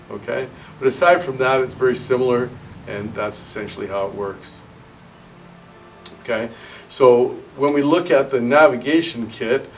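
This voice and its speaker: A man in his fifties giving a measured, explanatory product demonstration as a diving instructor, speaking outdoors. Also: medium pitch, distant mic, a little hiss or hum, poor audio. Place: outdoors at a cave mouth by water.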